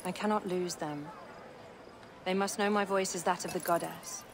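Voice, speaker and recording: A woman's voice: on the low side, steady tone, moderate pace, noisy background, lightly acted. An actress playing an imperious, cold young queen who styles herself a living goddess, speaking in a regal, grandiose way in a video game. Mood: anxious, resolute. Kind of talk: dramatic video game acting.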